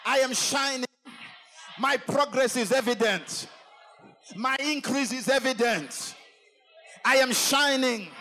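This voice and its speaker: A pastor leading a congregation in forceful call-and-response declarations, often shouting. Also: male voice, extremely high pitch. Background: music.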